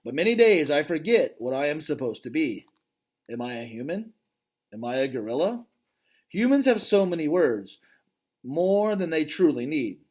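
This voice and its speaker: Gentle wistful gorilla telling his story, guy reading it aloud, kinda high voice that moves around a lot. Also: moderate pace. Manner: calm, reflective storytelling. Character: gentle thoughtful male gorilla narrator. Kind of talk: reading a children's novel aloud.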